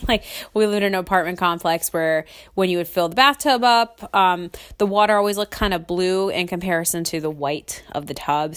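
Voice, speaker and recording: A young woman chats alone as a podcast host, her voice sitting a little low for a woman. She is sick with a cold and sometimes sniffles. Her talk rambles, full of "um"s and quick laughs. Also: fairly bright tone. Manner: chatty and rambling, laughs often, many ums. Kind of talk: casual solo podcast chat.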